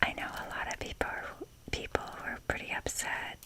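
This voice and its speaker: A young adult woman whispering in a breathy, casual, rambling ASMR style as she shows things off. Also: bright, forceful tone. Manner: unhurried, informal, rambling. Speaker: ASMR video creator.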